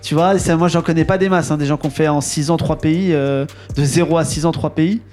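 A man's voice: on the high side, fairly even intonation, a little fast, darkish tone, faint music behind. A man hosting a casual conversational interview, feeling his way through questions with repeats and rephrasings. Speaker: podcast host interviewing a guest.

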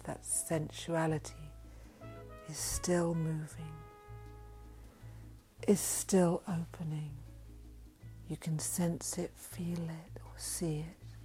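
A woman reading a sensual guided meditation in a breathy voice that often drops into a whisper. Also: deep voice. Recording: voice over soft background music. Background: music.

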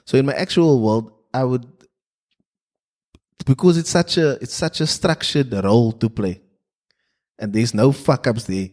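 A man's voice: medium pitch, measured pace, long pauses, darkish tone. A South African man whose first language is Afrikaans, co-hosting a podcast in casual chat and speaking bluntly with frequent swearing. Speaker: podcast co-host.

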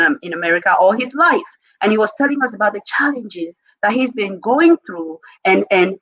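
A woman's voice: mid-range voice, fairly bright tone, highs cut off. A middle-aged woman hosting a podcast, encouraging her guests with frequent affirming murmurs. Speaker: podcast host. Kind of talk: casual conversation.

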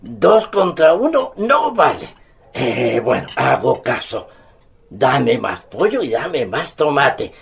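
A man's voice: mid-range voice, big pitch swings, slow, creaky, husky, full character voice. A man playing a jolly, stubborn old Chilean grandfather with a big appetite in a radio drama, hesitating and repeating his words. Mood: playful protest. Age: older.

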